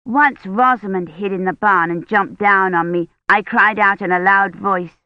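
A woman's voice: medium pitch, moderate pace, no echo, highs cut off, lightly acted. A woman voicing a small, eager, trusting girl in an audiobook, chattering artlessly in old-fashioned phrasing. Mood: excited, eager. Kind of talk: audiobook character acting.

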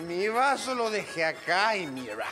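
A man's voice: high-pitched, sing-song, measured pace. An actor playing a hot-headed, commanding man in a pirate radio drama, theatrical and swaggering, greedy and boisterous. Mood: tipsy, teasing. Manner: theatrical, swaggering, swinging from mutters to shouts. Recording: music under the voice.

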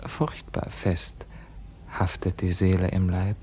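An actor in a German radio play, playing a feverish, haunted dying king with slow, declamatory delivery. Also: low voice, level tone, darkish tone, a little hiss or hum. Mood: fading, whispering. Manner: slow, declamatory, swinging from cries to faint murmurs.

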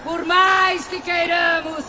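A shrill, bitter swamp spirit. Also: male voice, level tone, slow. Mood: scornful. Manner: shouting, mocking, despairing. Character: bitter tormented male spirit in a cursed swamp. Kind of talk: radio drama acting. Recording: dull lo-fi audio with noise.

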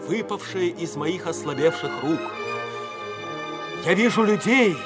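An actor playing an earnest Soviet polar aviator in measured, declamatory 1950s radio theatre style. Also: male voice, sits high. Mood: fervent, exalted. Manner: measured, rhetorical, emotionally swelling delivery. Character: earnest Soviet polar aviator solemnly recounting his expedition.

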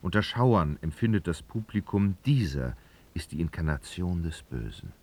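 A man's voice: sits low, big pitch swings, moderate pace, darkish tone, soft background music, full character voice. A man narrating a literary radio drama, reading expressively and dramatically.

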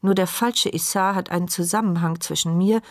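An actress playing an anxious, sharp-minded woman in a radio play, in an intimate, questioning inner monologue. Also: fairly low pitch, steady tone, fairly quick, no echo, studio quality, close to an everyday voice. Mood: pensive.

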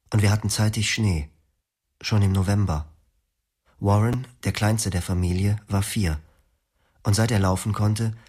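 Husky low male storyteller. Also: darkish tone. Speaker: first-person narrator. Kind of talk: scripted memoir narration. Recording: music behind.

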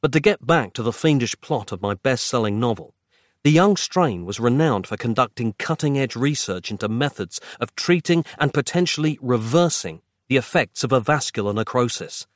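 A man's voice: mid-range voice, lively intonation, moderate pace, dry recording, studio quality, natural voice. A man voicing a grave, self-important male writer hero in a thriller audiobook, with a drawling, grim delivery. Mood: earnest, pompous.

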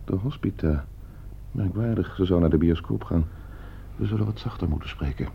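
An actor playing a coldly scheming gentleman heir in a radio play, suave, calculating and condescending. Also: low-pitched, moderate pace, vocal fry, slight background noise. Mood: coolly persuasive. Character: coldly scheming, broke gentleman heir plotting his uncle's murder. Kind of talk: Dutch radio play acting. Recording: muffled old radio play recording.

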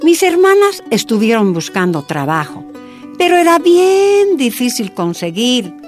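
Una actriz que interpreta a la humilde esposa boliviana de un minero, dando su testimonio con seriedad en una radionovela. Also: female voice, on the high side, very animated, a little slow, over music. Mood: sombre. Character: Bolivian miner's wife, humble, plain-spoken, recalling hardship. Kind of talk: dramatized first-person testimony in a radio drama.

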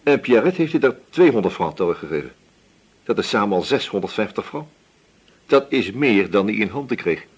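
A shrewd male police inspector in a radio play. His husky voice moves animatedly as he probes and doubts, brisk and in command, from a slightly low register. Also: sing-song. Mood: puzzled, deliberate. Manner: brisk, questioning, authoritative. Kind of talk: Dutch radio drama acting.

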